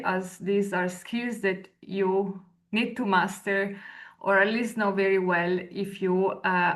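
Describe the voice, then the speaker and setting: A woman in her thirties with an Italian accent, a researcher and lecturer giving a webinar presentation over a video call with clear explanations and brief hesitations. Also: medium pitch, a bit bright. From Italy. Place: indoor room at home or office. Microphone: video call, laptop mic.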